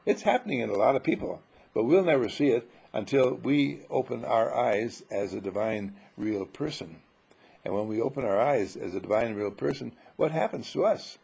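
A man giving an informal spiritual sermon full of rhetorical questions, emphatic and dramatic, with a husky, gravelly voice. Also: medium pitch, animated, moderate pace, a little mellow, dull audio. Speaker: spiritual teacher.

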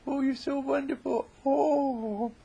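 A man putting on a gushing, sweet, high-voiced young woman. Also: fairly even intonation, takes it slowly, vocal fry, warm tone, lo-fi sound, heavily acted. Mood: gushing, adoring.

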